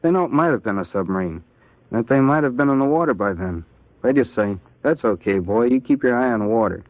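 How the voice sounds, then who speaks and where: A man with an American accent answering in a scripted 1940s radio interview as a Navy seaman, in a plain, earnest, measured way. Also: mid-range voice, animated, no echo, close to an everyday voice. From Ohio, United States. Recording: dull vintage 1940s radio recording.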